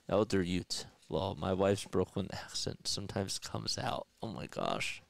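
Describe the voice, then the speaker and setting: A man's voice: deep voice, gravelly. A man in his thirties with a Philadelphia accent, calling a baseball game live on a headset mic and chatting with viewers. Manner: chatty, laughs often, reads viewer comments aloud. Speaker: play-by-play livestream host. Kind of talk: live baseball commentary with chat reading. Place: small indoor room in front of a desk setup. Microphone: headset with boom mic.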